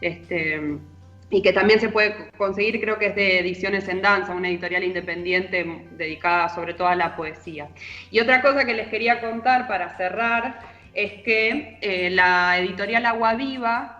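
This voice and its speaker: Bright-voiced Argentine woman, literary radio columnist. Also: medium pitch, fairly even intonation, moderate pace, lo-fi sound. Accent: Rioplatense Argentine. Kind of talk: conversational book column. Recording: roomy echo, music underneath.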